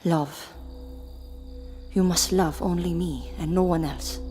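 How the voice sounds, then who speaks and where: A possessive, ancient vampire seductress played by a woman speaks in a dark, mellow voice at a very slow pace. Her phrasing is solemn and formal, in gothic audio drama fashion, with faint music underneath. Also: on the low side, fairly even intonation. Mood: solemn, insistent.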